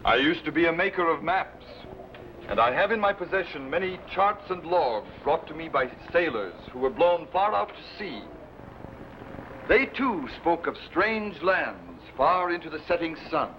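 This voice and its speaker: A man playing a weary, earnest middle-aged sea admiral in a formal, declamatory old-time radio adventure style. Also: a little high. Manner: formal, declamatory archaic phrasing. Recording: dull, noisy old radio recording.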